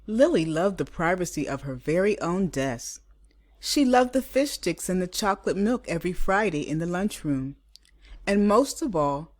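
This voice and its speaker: A woman with a low voice reads a picture book aloud in the manner of a teacher. She stresses key words deliberately and reads expressively. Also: darkish tone. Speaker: teacher reading a children's story.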